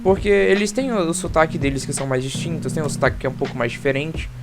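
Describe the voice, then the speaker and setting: A man's voice: a little high, quick pace. A Brazilian teenage boy presenting a school project, explaining in a rambling, hesitant way with many restarts. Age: teens. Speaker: student presenter. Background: guitar music.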